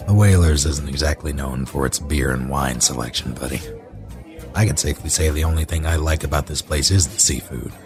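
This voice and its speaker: A man's voice: rumbling low, brisk, music in the background. A man acting a brooding, bitter, sardonic husband in a horror audio drama, with a taunting manner. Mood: dry, sardonic.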